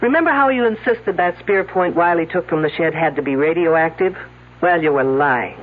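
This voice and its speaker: A woman's voice: on the low side, moderate pace, natural voice. An actress playing a brash, shrewd woman detective in a radio drama, sardonic and commanding. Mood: mocking, confident. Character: brash, wisecracking female detective, shrewd and sarcastic. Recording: muffled old radio broadcast recording.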